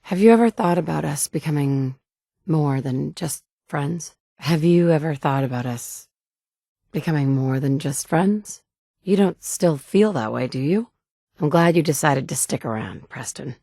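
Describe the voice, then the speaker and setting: A woman's voice: sits low, animated, unhurried, creaky, warm tone, no room sound, heavily acted. An actress in her thirties playing a tough, wry woman survivor in a video game, talking in a blunt, matter-of-fact way from a studio booth. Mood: tender. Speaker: player character. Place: recording booth. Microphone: studio mic.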